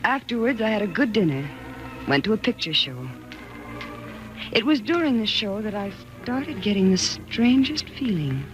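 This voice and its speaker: Actress as a distraught wife, swooping dramatic voice. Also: female voice, medium pitch, sing-song, lo-fi sound, over loud music, lightly acted. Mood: tense, reflective. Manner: dramatic, halting, earnest. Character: frightened, distraught wife narrating her own ordeal. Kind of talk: 1940s radio thriller acting. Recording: noisy vintage 1940s radio recording.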